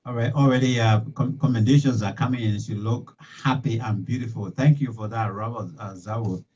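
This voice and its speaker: A middle-aged man hosting a live radio interview, talking in halting bursts with many fillers and restarts, recorded in a small home studio. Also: mid-range voice, darkish tone, breathy edge. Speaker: talk show host. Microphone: studio mic with headphones. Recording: distant, dull lo-fi sound.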